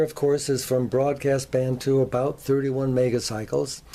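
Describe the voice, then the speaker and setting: An older man with an American accent gives a folksy, measured show-and-tell, addressing viewers directly like a hobbyist presenter. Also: mid-range voice, warm tone. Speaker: presenter, antique radio hobbyist. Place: home workshop.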